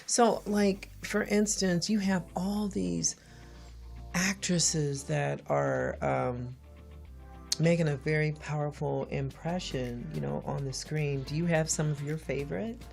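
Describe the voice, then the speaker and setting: A woman in her forties hosting an interview, eager and quick to laugh loudly, with lots of fillers. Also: deep voice, steady tone, gravelly, faint music behind. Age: 40s. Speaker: interview host. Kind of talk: casual interview chat.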